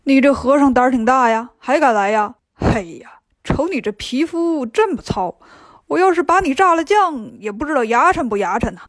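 A woman's voice: high-pitched, animated, moderate pace, no echo, clean studio sound. A woman voicing a boastful, self-pitying river monster in a children's storybook. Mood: mocking. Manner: swaggering, sighing theatrically.

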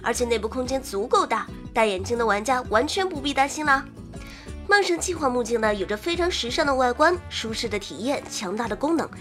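Lively high-pitched woman presenting game news. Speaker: game news show host. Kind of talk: scripted game news narration with playful asides. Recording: music under the voice. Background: background music.

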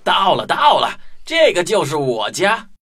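A woman's voice: on the low side, wildly swooping pitch, measured pace. A woman playing a bold, boastful young male city mouse in a children's audio drama. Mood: excited, proud. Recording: music under the voice.

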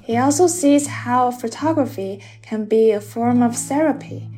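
Woman podcast host, slightly high voice, smooth scripted intro, faint guitar underneath. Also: unhurried. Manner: smooth, even narration; loose, filler-laden questions. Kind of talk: scripted narration and interview questions.

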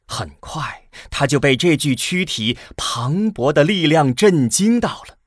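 A man's voice: a little high, animated, a little slow, dry recording, clean studio sound. A man narrating a science fiction radio drama in a dramatic scripted style. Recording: music behind the narration.

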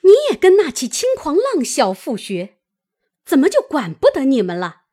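A woman's voice: big pitch swings, a little slow, full character voice. A woman voicing a coarse, bitter servant mother in a storytelling reading, scolding harshly. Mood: furious cursing. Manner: cursing, shrill scolding. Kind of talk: dramatised classic novel storytelling.

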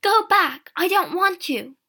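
A woman voicing an angry, scornful, demanding girl in a storybook, with a loud, sharp delivery. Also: medium pitch, steady tone, normal speed, close to an everyday voice. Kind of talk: storybook character acting.

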